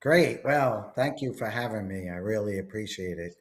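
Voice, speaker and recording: A man's voice: on the low side, expressive, normal speed. A middle-aged man with a Long Island accent, telling his life story as an interview guest, rambling with many fillers. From New York, USA.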